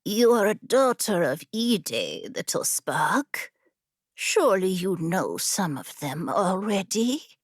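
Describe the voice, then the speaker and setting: A woman voicing a tall, ancient female genie in a fantasy audiobook, sly and predatory, with a throaty, breathy purr and drawn-out, teasing delivery. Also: mid-range voice, sing-song, no echo, clean studio sound. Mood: sly, tempting.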